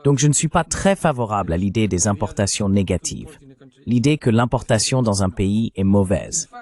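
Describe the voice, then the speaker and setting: Low-voiced man dubbing an interview. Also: expressive, normal speed, darkish tone. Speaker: voice-over translator of an interviewee. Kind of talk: dubbed interview commentary.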